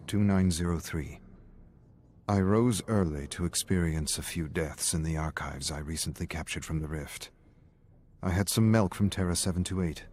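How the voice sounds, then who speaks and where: A man's voice: sits low, darkish tone, a little hiss or hum. A man narrating scripted story and journal entries in a dramatic reading style. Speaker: narrator reading game lore.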